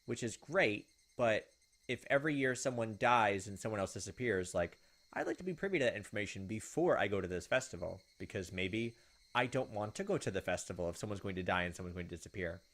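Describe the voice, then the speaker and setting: A man's voice: lowish voice, lively intonation, brisk, fairly bright tone. A man hosting a game playthrough, switching between casual commentary and reading story text aloud. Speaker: gaming video host.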